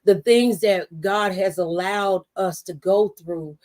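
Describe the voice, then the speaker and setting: A woman's voice: mid-range voice, slow pace, a little mellow. A woman hosting a faith-based motivational conversation, testifying with rhetorical questions and repeated phrases. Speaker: talk show host and mentor.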